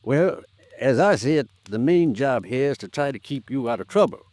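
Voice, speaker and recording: An actor playing a cautious, plain-spoken American lawyer, deliberate and folksy, in 1950s radio drama style. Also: male voice, medium pitch, animated, a little mellow, dry recording, lightly acted. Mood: cautious, probing. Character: cautious, plain-spoken small-town male lawyer, measured.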